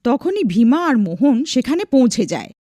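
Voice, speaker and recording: A female storyteller reads a scripted folk tale for a cartoon. Her voice is fairly high and very lively in intonation, with a close, dry sound. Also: fairly quick, dry recording. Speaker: storyteller, narrator.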